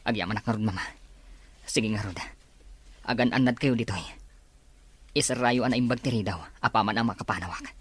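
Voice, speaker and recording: A man's voice: fairly low pitch, brisk, pauses often, breathy edge. A man with a Filipino accent playing a vengeful grown son in a radio drama. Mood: tender, earnest. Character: vengeful grown son confronting his father's killer.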